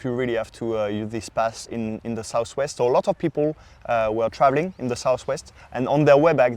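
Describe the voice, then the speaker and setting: A Frenchman with a clear French accent gives an informal, explanatory podcast talk as a sommelier. His voice sits at an average male pitch, and his non-native phrasing is hesitant and halting. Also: darkish tone. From France. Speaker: podcast sommelier presenting wine regions.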